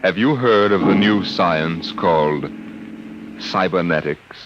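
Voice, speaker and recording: A man's voice: low-pitched, slow pace. A man announcing and narrating a 1950s radio drama in a grave, ominous tone. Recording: dull old radio broadcast over loud music.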